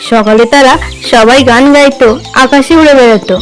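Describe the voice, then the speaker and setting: A woman storyteller reading a scripted narration for a children's animated tale. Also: high-pitched, fairly quick. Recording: music behind the voice.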